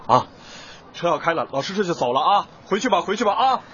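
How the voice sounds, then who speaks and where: A man's voice: high voice, very animated, fast talker, fairly bright tone. A man in his twenties playing an earnest, frustrated village schoolteacher in a radio drama, speaking emotively. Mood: subdued, sad. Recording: dull lo-fi recording, faint background noise.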